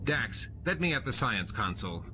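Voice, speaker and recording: A man reading an audiobook, voicing a practical male chief engineer with a brisk, technical delivery. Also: mid-range voice, very animated, muffled recording, over music, natural voice.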